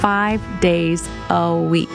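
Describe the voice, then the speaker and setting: A woman narrating a ministry retrospective, introducing each speaker in a measured, scripted way. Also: on the low side, fairly even intonation, gravelly. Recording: music bed behind voice.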